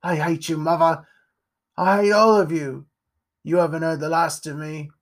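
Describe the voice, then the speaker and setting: A man voicing a frightened boy who pleads and rages, his voice rising high. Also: fairly even intonation, normal speed, lightly acted. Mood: furious.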